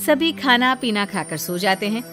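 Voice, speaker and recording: A woman's voice: medium pitch, animated, normal speed, a bit bright, faint music behind. A woman storyteller giving scripted narration of a moral story.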